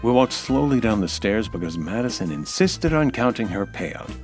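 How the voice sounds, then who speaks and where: An actor playing a hard-boiled, cynical American nightclub owner in a dry, clipped detective radio drama style. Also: male voice, on the low side, big pitch swings, normal speed, darkish tone, faint music behind. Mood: wry, narrating. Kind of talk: 1940s detective radio drama narration and dialogue.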